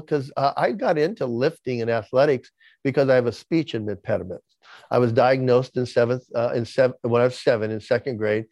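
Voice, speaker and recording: A man's voice: mid-range voice, darkish tone, dry recording. A man in his sixties with a lisp, candidly telling his life story as an interview guest, often repeating words as he starts a sentence. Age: 60s. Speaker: podcast guest.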